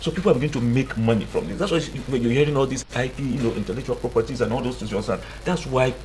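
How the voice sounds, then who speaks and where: A man's voice: medium pitch, racing, darkish tone, slight background noise, rough recording. A Nigerian man explaining things as an expert guest in a radio interview, speaking emphatically with frequent restarts and repetition. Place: radio studio.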